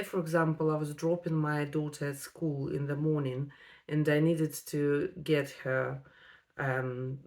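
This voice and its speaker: A woman, an English speaker, telling a personal story to camera with many ums and pauses. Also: low voice. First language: Russian. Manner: unhurried, many ums and pauses, non-native phrasing. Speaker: vlogger.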